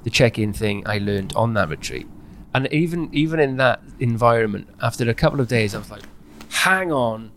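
Male, average pitch, for candid personal podcast talk; animated, breaks into his own sentences. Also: brisk, a little hiss or hum. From Wales.

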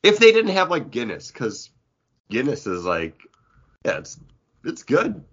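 A man's voice: mid-range voice, huge pitch swings, a little slow, a bit bright, slightly rough. A man co-hosting a podcast, chatting casually in a rambling, jokey way. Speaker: podcast co-host. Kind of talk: casual comic book podcast chat. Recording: dull, lo-fi audio.

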